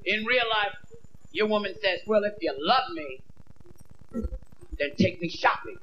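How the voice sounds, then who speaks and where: A man's voice: high-pitched, unhurried. A man in his thirties doing a brash stand-up routine on a theater stage into a handheld mic, acting out bits of dialogue. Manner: brash, acts out voices of women and himself. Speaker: stand-up comedian. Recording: dull lo-fi VHS tape with hiss.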